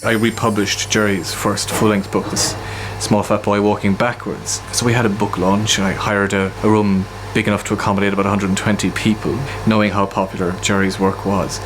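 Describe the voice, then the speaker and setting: A man's voice: on the low side, little pitch movement, fairly quick, few pauses, music in the background. A man being interviewed, telling anecdotes in a conversational way. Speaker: poet and literature centre director being interviewed. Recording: clearly noisy, ambient hum and vehicles.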